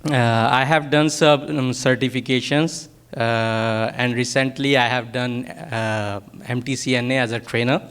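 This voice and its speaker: A man with a Pakistani accent and Urdu as his first language presents technical material to a workshop. His voice is clean and smooth, though his delivery is halting as he searches for words. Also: medium pitch, steady tone, a bit bright. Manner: halting, hesitant, searching for words in non-native English.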